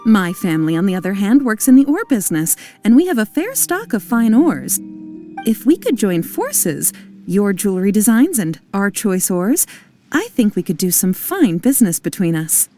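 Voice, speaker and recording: A woman's voice: medium pitch, big pitch swings, moderate pace, warm tone, soft background music, close to an everyday voice. A woman voicing a polite, shrewd businesswoman in a video game, speaking formally and courteously. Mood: grateful, businesslike. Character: polite, shrewd young businesswoman from ore-trading family.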